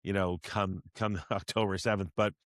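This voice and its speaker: A man's voice: sits low, flat delivery, normal speed, no room sound, clean studio sound. A man hosting an interview podcast, asking long, rambling questions with many repeated words and restarts. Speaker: podcast host.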